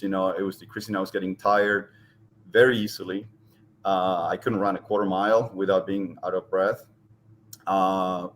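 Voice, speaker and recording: A middle-aged man from Argentina with an Argentine Spanish accent, speaking as an interview guest and pausing often with ums and restarts. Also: sits low, slight background noise. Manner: hesitant, many ums and restarts. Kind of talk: casual interview talk.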